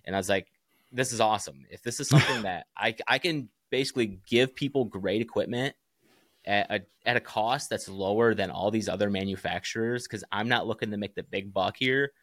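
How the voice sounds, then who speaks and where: A man's voice: on the low side, a little fast, a bit bright, dry recording. An American man speaking as a podcast guest, casual, earnest and plain-spoken about his work. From Chicago area, United States. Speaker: podcast guest, small business founder. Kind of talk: casual interview chat.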